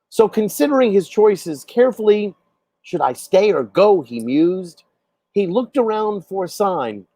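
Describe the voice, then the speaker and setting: A man reciting a storybook poem in a measured, dramatic, deliberate storytelling manner. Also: high voice, mellow, no room sound. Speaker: poet reading own poem. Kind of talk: expressive storybook poetry recitation.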